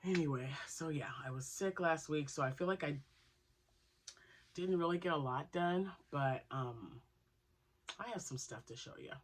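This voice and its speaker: A woman's voice: low-pitched, moderate pace, long pauses. A woman hosting her crochet channel, chatting casually and rambling with asides, still nasal from a cold. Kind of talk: casual chat to camera.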